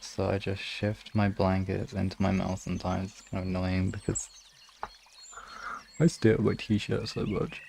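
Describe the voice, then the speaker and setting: A man with a fairly low voice and plenty of vocal fry talks in a casual, rambling stream style. His phrasing is informal and loose, as if answering comments, with faint noise behind him. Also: a little mellow. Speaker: ASMR streamer chatting with an audience.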